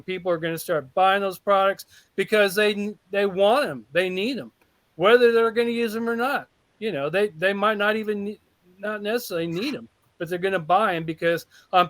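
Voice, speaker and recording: A man on a group video call gives a long, eager testimonial, rambling and often circling back mid-sentence. His voice sits slightly high. The sound is dry and close, with no room around it. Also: moderate pace, a bit bright, dry recording. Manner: rambling, enthusiastic, restarts often. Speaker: call participant.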